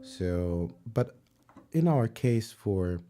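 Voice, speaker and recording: Slow-talking German man teaching piano. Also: sits low. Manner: relaxed, searching for words, sings and counts along. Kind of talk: livestream music lesson. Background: piano playing.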